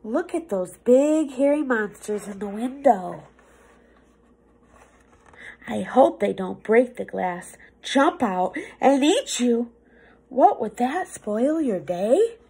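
A woman's voice: a little high, creaky, slightly rough. A young woman reading a picture book aloud to children like a teacher, theatrical and playful, drawing words out for effect. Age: young adult. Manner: theatrical, expressive, playful, with drawn-out words and hushed asides. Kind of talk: animated storybook read-aloud for children. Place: small office room indoors.

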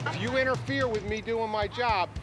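A man's voice: very high-pitched, moderate pace, loud music behind the voice. A man working as a parking enforcement officer, speaking firmly and matter-of-factly on the street. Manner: firm, matter-of-fact. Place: city street outdoors.

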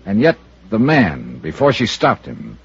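An American actor playing a sardonic investigator in a 1950s radio drama, with wry, clipped noir delivery. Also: male voice, fairly low pitch, huge pitch swings, measured pace, creaky, husky, full character voice. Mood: brooding narration. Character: sardonic, cool insurance investigator in his prime. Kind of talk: 1950s radio detective acting and narration. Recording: dull vintage radio recording, music behind.

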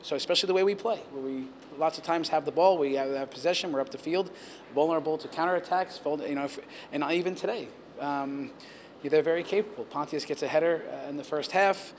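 Man in his forties, football coach, post-game press talk, measured and earnest, faint room hum. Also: on the high side. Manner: measured, earnest, many restarts and fillers. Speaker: head coach. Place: press backdrop area, indoors. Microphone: handheld reporter mics held close.

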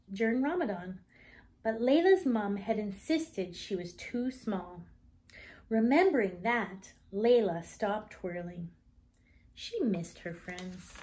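A woman in her thirties reading a children's picture book aloud in an expressive, deliberate manner. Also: medium pitch.

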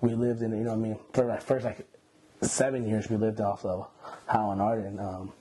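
A man in his twenties from Iran, a guest telling his story in a relaxed, slangy way with many fillers, seated indoors on a lapel mic. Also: lowish voice, moderate pace, breathy edge, poor audio. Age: 20s. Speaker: interview guest. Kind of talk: casual interview. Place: indoor room, seated on a couch.

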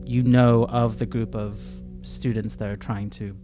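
A young man plays a tabletop roleplaying game in his everyday voice. He talks slowly and haltingly at a middling pitch, with music clearly audible behind him. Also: little pitch movement. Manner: hesitant, halting questioning. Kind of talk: improvised in-character dialogue in everyday voice. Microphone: close podcast microphone on stand. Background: instrumental music.

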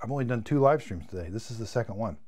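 A man's voice: lowish voice, lively intonation, rapid-fire, darkish tone. Chatty man reviewing vacuums, losing his voice. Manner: chatty, enthusiastic, repeats words while demonstrating. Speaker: product reviewer. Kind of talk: live-stream product demo. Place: home studio room with shelves of vacuums.

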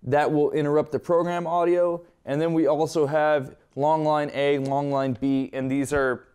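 A man with a fairly high, clear voice walks through a technical tutorial. He explains each step informally and conversationally, like a hands-on instructor. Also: level tone, normal speed, darkish tone. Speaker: instructor presenting an equipment tutorial.

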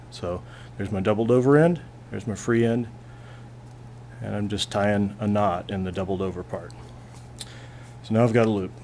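Low-voiced man demonstrating a knot. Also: slight background noise. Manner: relaxed, plainspoken, thinking aloud with restarts. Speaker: physics teacher. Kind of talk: hands-on classroom demonstration. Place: classroom lab bench, indoors.

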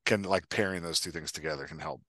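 An American man with a lowish, crisp-edged bright voice talks casually as a podcast host. He tells stories in an animated way, quoting his own thoughts, in a dry-sounding space. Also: quick pace, dry recording. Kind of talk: casual Q&A chat. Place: indoor room at home, on a video call. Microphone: headset microphone.

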